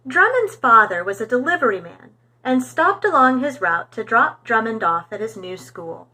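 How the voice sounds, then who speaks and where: A woman reading a storybook aloud for young children in an expressive, animated storytelling manner. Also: fairly high pitch, moderate pace.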